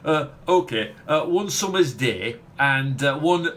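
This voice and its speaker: A man's voice: on the high side, expressive, unhurried, a bit bright. A man playing an eager, fawning milkshake bar waiter in an audio comedy, hesitant, fussy and gushing. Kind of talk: family comedy audio play acting.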